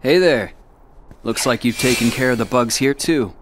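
A man's voice: mid-range voice, normal speed, slight background noise, natural voice. A young man playing a brooding, self-sacrificing teenage boy warrior in a game cutscene, grave and restrained. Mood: calm, grateful. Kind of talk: video game cutscene acting.

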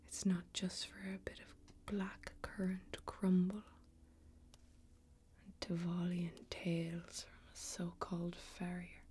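A young woman playing a tough, watchful female warrior in a fantasy ASMR role-play, whispering breathily and deliberately, with long pauses. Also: lowish voice, dark tone. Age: young adult. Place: small indoor bar set with bottles. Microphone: close ASMR microphone.

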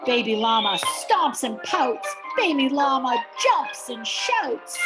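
A middle-aged to older woman reading a children's picture book aloud as a grandmother, expressively, with drawn-out emphasis on key words. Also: high voice, very animated. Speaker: grandmother reading a bedtime story. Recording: music playing behind the voice. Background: music.

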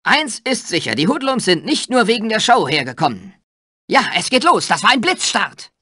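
An excitable male sports commentator from a cartoon game. He calls the match theatrically, with lively swings in pitch and a sharp, forceful tone. The recording is dry and close, and the delivery is over-the-top and exclamatory. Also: moderate pace, no room sound, heavily acted. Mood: excited.

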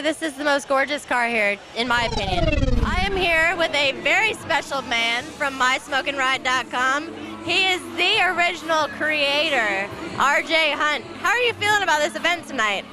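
A woman's voice: high-pitched, lively intonation, normal speed, rough recording. A young woman hosting and interviewing guests at a night party outdoors, gushing with praise into a handheld mic. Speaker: event host interviewer. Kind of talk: upbeat red-carpet interviewing. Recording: loud party music and crowd behind.

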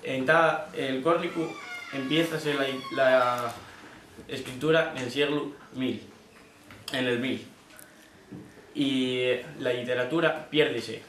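Teen boy student, halting delivery, echoey room, mic at a distance. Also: medium pitch, steady tone, a bit bright, slight background noise. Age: teens. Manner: halting, self-correcting. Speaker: student presenter. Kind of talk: school presentation.

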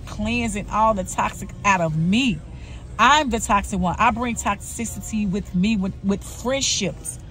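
A woman in her forties talking candidly to camera in a personal vlog, earnest and confessional, often repeating herself. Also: medium pitch. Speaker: vlogger.

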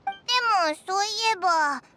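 An actress voicing a tiny, cheeky, eager fairy companion in an anime game, childlike, with blunt casual speech. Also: female voice, extremely high pitch, moderate pace, noisy background. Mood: curious, chatty. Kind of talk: Japanese anime game voice acting.

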